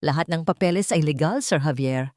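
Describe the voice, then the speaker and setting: Lady reading as a male lawyer in a love drama, calm, polite, very formal, dry sound. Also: low voice, fairly quick, no room sound, natural voice.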